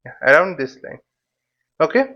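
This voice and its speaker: High-pitched man explaining haltingly, dull audio. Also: expressive. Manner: halting, repetitive, checks with "okay" and "right". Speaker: flight simulation instructor. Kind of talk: explanatory tutorial, thinking aloud.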